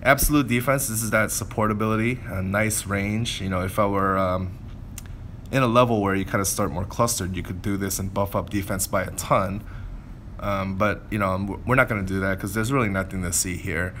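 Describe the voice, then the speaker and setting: A man's voice: lowish voice, fairly even intonation, brisk, a bit bright, a little hiss or hum. A man commentating on gameplay in a casual way, thinking aloud and explaining as he goes.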